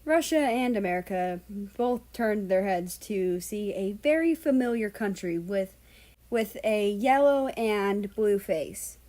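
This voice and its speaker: A woman reads a story aloud as narrator. Her pitch sits in the middle range for a woman, and she delivers the text as storytelling narration. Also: animated, slow pace, mellow. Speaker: fan fiction reader.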